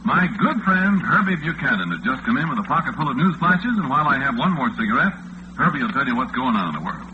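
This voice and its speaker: A man playing a chatty, folksy overnight radio disc jockey with an American accent, in a casual, halting old-time radio drama style. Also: mid-range voice, sing-song, close to an everyday voice. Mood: breezy announcing. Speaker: late-night radio host. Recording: muffled, noisy vintage radio recording.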